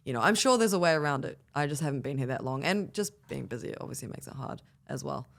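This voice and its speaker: A woman's voice: a bass voice, fast talker, vocal fry. New Zealand woman, easygoing guest. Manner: relaxed, laughs easily, hesitant with ums. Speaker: guest musician. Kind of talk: casual interview chat.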